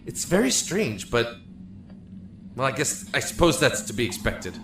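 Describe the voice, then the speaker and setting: An actor playing a lonely, emotional young man in a prison cell in an audio drama, talkative and halting. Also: mid-range voice, big pitch swings, vocal fry, noisy background. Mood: relieved, musing. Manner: halting, rambling, effusive. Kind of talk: audio drama acting with first-person narration. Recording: echoey room with music behind.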